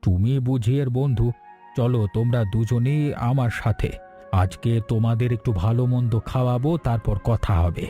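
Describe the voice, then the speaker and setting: A man acts out a rich, generous gentleman in a cartoon folk tale. He is warm and benevolent, with a mellow voice over faint music. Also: medium pitch, steady tone, moderate pace, natural voice. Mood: kindly. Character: kindly, generous wealthy gentleman. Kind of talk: Bengali cartoon fairy-tale voice acting.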